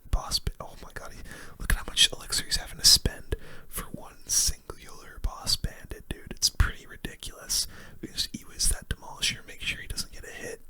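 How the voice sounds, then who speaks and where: A young man speaks in a steady whisper, very bright and sibilant. He gives informal, relaxed ASMR game commentary right up close to the microphone. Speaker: gaming video creator.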